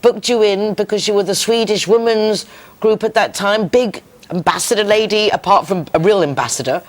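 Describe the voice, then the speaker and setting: A woman's voice: mid-range voice, moderate pace. Brief: female TV talk host, effusive and complimentary, mid-range voice. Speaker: TV show host. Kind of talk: interview talk show.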